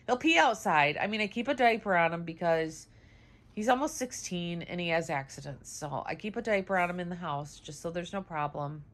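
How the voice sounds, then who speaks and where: A woman's voice: animated, moderate pace. Casual home vlogger, woman, stuffy nose, sing-song with her pets, easygoing chatter. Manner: high sing-song baby talk to her dogs; chatty, many "um"s. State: congested, nasal. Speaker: vlogger, dog owner. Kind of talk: casual home vlog chat. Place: home, indoors.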